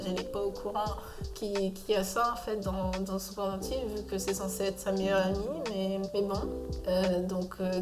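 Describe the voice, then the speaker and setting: A young woman talks through her review in a relaxed, unscripted way, stumbling and restarting often, her pitch sitting right in the middle. Loud background music runs under her voice. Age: young adult. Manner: hesitant, many filler sounds and restarts. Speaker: video essayist reviewing a cartoon. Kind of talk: casual spoken review commentary. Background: music playing.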